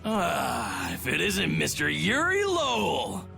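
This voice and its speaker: An actor playing a blustering, officious, comical knight in a video game, with a gravelly voice. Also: male voice, fairly high pitch, huge pitch swings, slow, sharp tone, soft background music, full character voice. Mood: indignant surprise. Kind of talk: animated video game acting.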